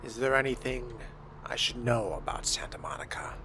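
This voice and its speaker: A man role-playing a character in a game, speaking in a measured, formal and hushed manner. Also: mid-range voice, expressive, vocal fry, slight background noise. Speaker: player role-playing a vampire fledgling.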